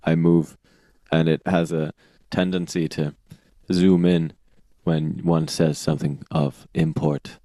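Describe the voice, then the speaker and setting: A man with a very low voice chats casually as a podcast co-host, playful and quick to laugh. His sound is dry and close, with almost no room around it. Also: little pitch movement, dark tone, no room sound. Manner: playful, laughs often, quotes sayings. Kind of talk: casual chat. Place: home studio on video call. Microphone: video call setup.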